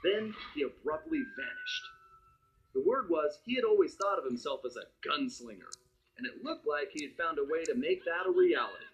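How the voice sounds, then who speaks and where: An actor playing a cool, wry gang-leader thief, telling his adventures as dramatic narration in an animated game cutscene. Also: a little high, normal speed, dull audio, a little hiss or hum. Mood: wry, intrigued. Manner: dramatic, conspiratorial storytelling.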